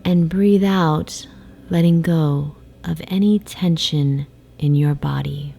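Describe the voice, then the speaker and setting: A woman guiding a sleep meditation in a soft, soothing, hypnotic way, with breathy phrase endings and long pauses. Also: deep voice. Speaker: meditation guide. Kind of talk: guided sleep meditation, slow hypnotic narration. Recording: faint background noise, ocean waves. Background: ocean wave sounds.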